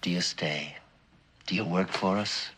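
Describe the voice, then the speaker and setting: An actor playing a stern, calculating American police detective, deliberate and insinuating, in a mid-century crime drama. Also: male voice, deep voice, fairly bright tone, slightly rough, full character voice. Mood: pressing, grave.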